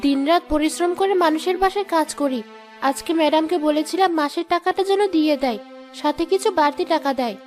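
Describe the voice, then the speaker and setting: A woman's voice: high voice, fast talker, soft background music, close to an everyday voice. A woman voicing a poor, anxious housemaid and mother in a cartoon drama, plaintive and pleading. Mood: sorrowful.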